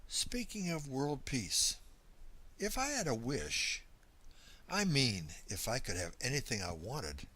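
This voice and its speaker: A cheerful little middle-aged drifter acted by a man tells his own story as a dramatic monologue. His pitch swings widely around a medium register. He rambles in a folksy, confiding way, like a good-natured drinker, and leaves dramatic pauses. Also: very animated, bright, forceful tone, full character voice. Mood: contented, dreamy. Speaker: story reader. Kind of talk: dramatic first-person monologue reading.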